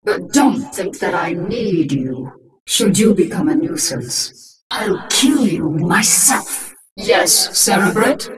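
A woman's voice: mid-range voice, lively intonation, slow, distant mic, crowd noise. An actress playing an imperious infested alien queen in a video game, cold and threatening with an echoing effect. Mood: cold menace. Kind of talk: video game unit quotes.

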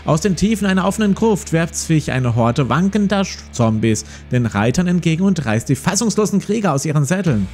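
A man's voice: a little high, sing-song, a little fast, few pauses, a little mellow. A man in his forties hosting a let's play, reading a gamebook aloud and commenting casually. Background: plucked guitar music.

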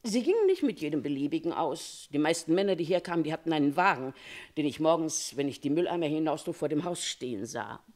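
A gossipy, low-voiced lady concierge. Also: big pitch swings, fast, darkish tone. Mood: gossipy, knowing. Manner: chatty, confiding, opinionated storytelling. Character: gossipy, talkative female Paris concierge. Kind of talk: German radio crime play acting.

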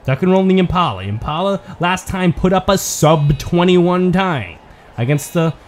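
A man giving play-by-play commentary on a toy car race, building excitement at close finishes. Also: sits high, normal speed.

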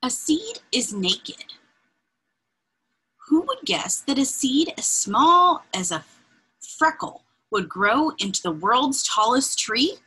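Slow, breathy woman reading to children. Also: sits high, low-quality recording. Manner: expressive, deliberate, pointing things out as if to children. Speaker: read-aloud reader. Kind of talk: children's picture book read-aloud with informal asides. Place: indoors, small room with bookshelves.

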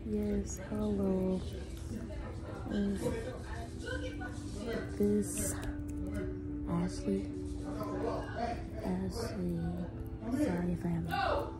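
A young American woman chatting casually to camera in an informal, slangy, halting way, sometimes dropping into hushed asides. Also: medium pitch, steady tone, loud music behind the voice, low-quality recording. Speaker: vlogger making prank calls. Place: small indoor room. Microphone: phone held at arm's length.

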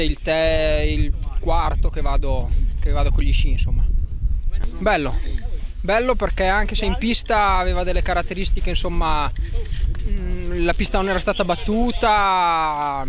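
A man's voice: sits high, measured pace. A young male athlete interviewed outdoors at night on a ski slope, casual and trailing off. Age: young adult. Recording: outdoor crowd behind.

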